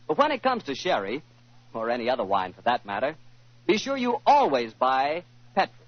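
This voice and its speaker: Animated male old-time radio announcer. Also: medium pitch, moderate pace. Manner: polished salesman-like delivery. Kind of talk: 1940s scripted radio announcing and sponsor pitch. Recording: dull 1940s radio recording.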